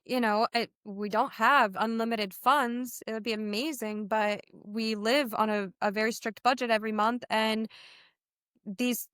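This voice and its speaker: A woman from the United States talks in a casual, excitable way, acting out little quotes as she tells her stories. Her voice sits a bit high and sounds smooth and clear. The recording is dry, with no room echo. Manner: excitable, animated storytelling with acted-out quotes. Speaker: podcast guest. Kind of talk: casual chat.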